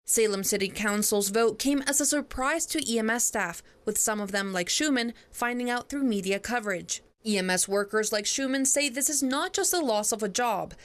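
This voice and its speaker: A woman's voice: on the high side, moderate pace, hardly any pauses. A woman narrating a scripted television news report as a reporter.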